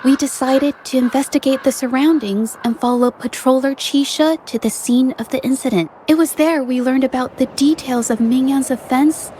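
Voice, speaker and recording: A woman's voice: high-pitched, normal speed, few pauses, dark tone, a little hiss or hum, close to an everyday voice. A woman voicing a shy, polite young woman in a video game, speaking in a hesitant, apologetic way. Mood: earnest, uncertain. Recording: game music behind the voice.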